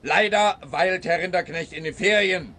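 A man's voice: high voice, moderate pace, sharp tone. An actor playing an irritable, self-important policeman in a comic radio play, bossy and bureaucratic. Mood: exasperated, pompous.

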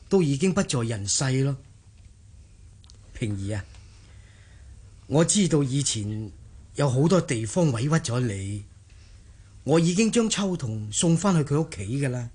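An actor playing a remorseful gentleman of a wealthy household in a Cantonese radio drama, earnest and placating. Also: medium pitch, breathy edge.

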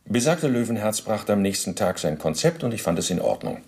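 A man's voice: lowish voice, very animated, brisk, gravelly, a little mellow. An actor playing a self-justifying former SS officer under interrogation in a radio play, speaking formal, pedantic officialese with deference to his questioner. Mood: self-justifying, precise. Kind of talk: radio play, testimony monologue.

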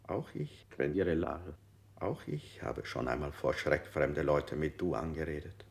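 An actor playing a male interrogator in a radio play, slowly posing falsely sympathetic questions with a gravelly voice. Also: rumbling low, lively intonation, exaggerated voice. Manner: slow, ingratiating questioning. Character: gently probing male interrogator, insidiously sympathetic.

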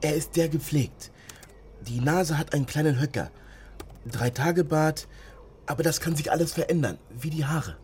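A man's voice: a little high, normal speed, a little mellow, slight background noise, lightly acted. An actor playing a wary young man living illegally, in naturalistic radio drama dialogue and inner monologue. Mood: focused. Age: young adult.

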